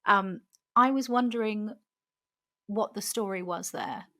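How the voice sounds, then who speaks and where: A woman from England hosting a podcast interview, chatty and quick to laugh as she asks questions and shares her own story. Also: medium pitch, sharp tone. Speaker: podcast host.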